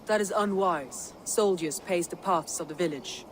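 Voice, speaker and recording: Man as a high-voiced cautious warrior counsellor. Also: moderate pace, slight background noise, natural voice, rough recording. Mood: measured, earnest. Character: cautious, earnest warrior counsellor in a war band. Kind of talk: dramatic video game cutscene acting.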